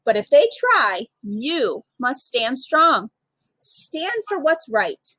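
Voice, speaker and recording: Woman, storytime read-aloud, lively swooping intonation, slightly husky, emphatic pauses, dry close sound. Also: sits high, dry recording, low-quality recording. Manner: emphatic, with dramatic pauses and acted dialogue. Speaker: storytime reader, literacy program manager. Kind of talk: expressive picture-book read-aloud for children.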